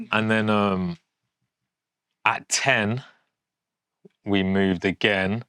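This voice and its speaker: A man's voice: lowish voice, very animated, drawn-out words, fairly bright tone, no room sound. A man, talking casually and candidly in an informal, matter-of-fact way. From England, born in Yorkshire with family from Pakistan. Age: 20s. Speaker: fashion designer, documentary subject. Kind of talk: casual, unscripted talk to camera.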